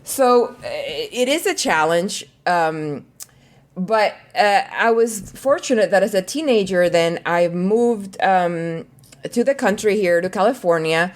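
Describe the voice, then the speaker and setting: A middle-aged woman from El Salvador speaks in a podcast interview with a slightly low voice. She is earnest and reflective, pausing often with small fillers as she thinks through her answers. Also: lively intonation. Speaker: marketing leader, podcast guest.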